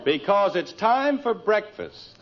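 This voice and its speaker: A man's voice: high-pitched, very animated, takes it slowly, heavily acted. An actor playing a wry, impatient middle-aged American father in a vintage radio sitcom. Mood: exasperated. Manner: wry, emphatic. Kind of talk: 1940s-50s radio sitcom acting. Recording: muffled, faintly noisy vintage radio recording.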